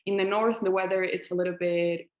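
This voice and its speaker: A woman's voice: fairly low pitch, steady tone, a little fast, echoey room, dull audio. A Chilean woman in her twenties, a native Spanish speaker, answering interview questions casually with many fillers and restarts. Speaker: author guest.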